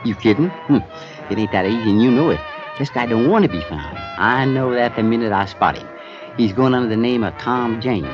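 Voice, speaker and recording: An actor playing a streetwise, wheedling hustler of an informant in a 1950s radio drama, talking in slang. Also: male voice, mid-range voice, lively intonation, a little fast, natural voice. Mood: defensive, insistent. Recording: dull old radio recording with music.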